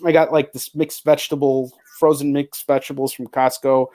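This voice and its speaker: A man's voice: mid-range voice, flat delivery, fairly quick, mellow. A man chatting casually and candidly as a podcast host, rambling and swearing freely. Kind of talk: casual chat.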